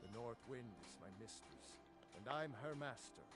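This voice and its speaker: A man's voice: mid-range voice, expressive, moderate pace, a bit bright, faint music behind, natural voice. A man voicing a stern ship captain. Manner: curt, commanding. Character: stern male ship captain, proud and strict.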